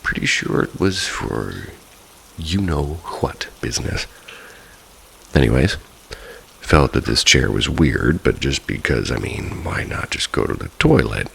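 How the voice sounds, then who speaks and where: A man's voice: a bass voice, vocal fry, slight background noise, low-quality recording. A man narrating first-person ghost stories from a script, in the style of a bedtime listen. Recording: steady rain ambience behind the voice. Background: rain falling.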